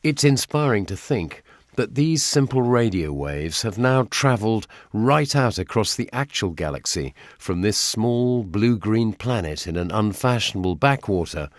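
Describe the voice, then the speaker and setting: British male radio presenter, middle-aged. Low, lively-pitched narration, recorded close and dry. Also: no echo. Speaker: documentary presenter.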